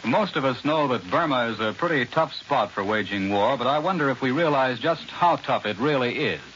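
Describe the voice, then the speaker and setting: A man with an American accent and a middle-pitched voice works as a 1940s radio news anchor. His delivery is scripted and formal to the medium. The old recording is band-limited, with a faint hiss. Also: lively intonation, moderate pace, fairly bright tone, highs cut off. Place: New York radio studio.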